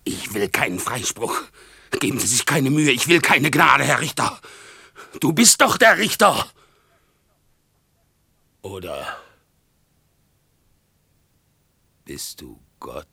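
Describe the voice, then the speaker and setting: An actor in a radio play as a sick, despairing man, slow and halting, bitterly defying God in a hoarse, very deep voice. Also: steady tone, heavily acted. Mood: defiant. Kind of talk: radio play acting, solemn monologue. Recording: 1960s radio drama production.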